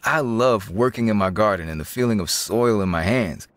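A man playing a fastidious, matter-of-fact craftsman in a video game. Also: on the low side, no echo, lightly acted. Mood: particular, confiding. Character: fastidious craftsman who loves gardening.

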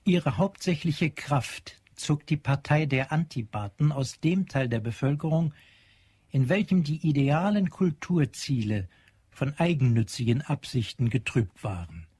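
A man narrating a German radio play as literary storyteller, solemn and measured. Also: on the high side, animated, darkish tone, husky, dry recording.

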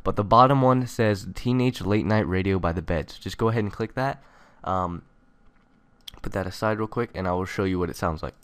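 Low, clean-voiced young man. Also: a little fast, a bit bright, clean tone, no room sound. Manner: informal, conversational. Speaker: tutorial host. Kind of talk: casual software tutorial narration.

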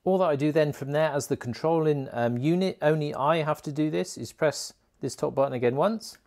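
A man's voice: fairly high pitch, fairly quick, a little mellow. A man hosting a motorcycle gear video, walking through an informal product tutorial in a chatty, colloquial way.

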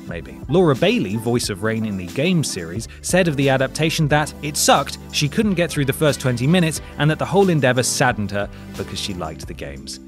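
A man's voice: mid-range voice, sing-song, fairly quick, few pauses. A man with a British accent reading a scripted countdown as host, sardonic and mock-dramatic with dry asides. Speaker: video host narrator. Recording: voice-over with music behind. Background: music.

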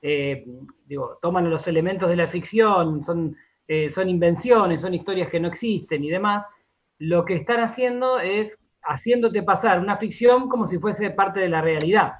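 High-pitched Argentine man, dull lo-fi sound. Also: normal speed. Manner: repeats words, checks in with "¿no?" and "¿sí?". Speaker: radio drama director, interview guest. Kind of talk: explanatory interview talk.

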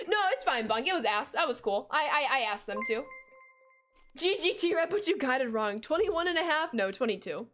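A young woman in her twenties chats casually on a game stream, her voice sitting a little high. She reads viewer messages aloud between plays and breaks into quick gasps and laughter, heard through a desk mic at home. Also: soft background music. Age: 20s. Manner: chatty, reads chat aloud, bursts of excited gasps and laughs. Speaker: gaming streamer. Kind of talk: casual live-stream chat while playing.

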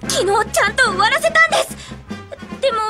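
A woman's voice: extremely high pitch, animated, fairly quick, loud music behind the voice. An actress playing a flustered, apologetic schoolgirl in a radio drama.

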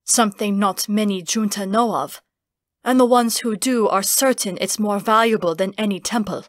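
A woman voicing a solemn fantasy master in an audiobook, measured and grave. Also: medium pitch, no room sound, studio quality, close to an everyday voice. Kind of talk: audiobook character acting.

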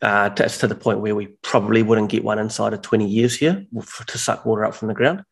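A man's voice: lowish voice, fast talker, gravelly, breathy edge. A man, a mushroom farmer chatting as a guest on a video call, explaining at length and often correcting himself mid-sentence. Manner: rambling, self-correcting explanations. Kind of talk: casual video call chat. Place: large bare indoor workshop room. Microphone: video call, headphones on.

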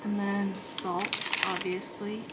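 A young woman chats her way through making dinner in a small apartment kitchen, naming each ingredient as she goes. Her voice sits at a middling pitch and has an easy vlog tone. The recording picks up a loud bed of kitchen noise around her. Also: flat delivery, takes it slowly. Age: young adult. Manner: chatty, narrating each step as she cooks. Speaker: vlogger. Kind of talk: casual cooking vlog narration.

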